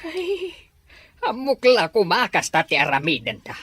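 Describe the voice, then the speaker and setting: A woman voicing a fretful older father with a husky voice and an Ilocano accent in an audio drama. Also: mid-range voice, wildly swooping pitch, a little slow. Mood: fretful, dismayed. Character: fretful older father of grown children overseas.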